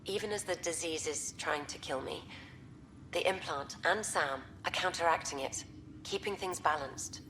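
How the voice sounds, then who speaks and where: A middle-aged scientist and mother in a video game, her voice slightly low over faint noise. She is candid and wry, with a dry, teasing streak toward her husband. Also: normal speed, pushed and bright, rough recording. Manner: frank, dryly teasing. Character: frank, wry, ailing scientist wife and mother.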